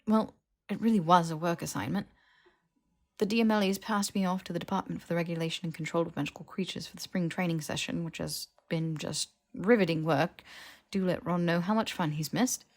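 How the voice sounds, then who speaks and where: A woman voicing a stubbornly earnest young man in an audiobook, wry, halting and self-justifying. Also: on the low side, fairly even intonation. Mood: wry explaining. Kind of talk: dramatized audiobook dialogue.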